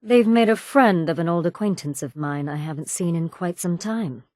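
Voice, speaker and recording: Actress as ruthless raider woman, slightly low pitch, cool commanding delivery, sardonic, video game dialogue, dry studio sound. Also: moderate pace, darkish tone, no echo, close to an everyday voice. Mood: quietly threatening. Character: ruthless female raider gang leader, sardonic and menacing.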